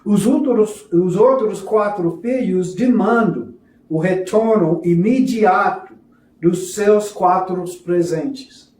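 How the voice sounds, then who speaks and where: This is a man with a foreign accent giving a religious lesson in a halting, apologetic way. His voice is notably high for a man and rather mellow. He sits back from the microphone in a room with clear echo. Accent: foreign, non-native Portuguese. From foreign to Brazil, a self-described gringo. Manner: halting, hesitant in Portuguese, apologetic. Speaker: religious teacher presenting a course. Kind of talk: lesson with storytelling. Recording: echoey room, distant from mic.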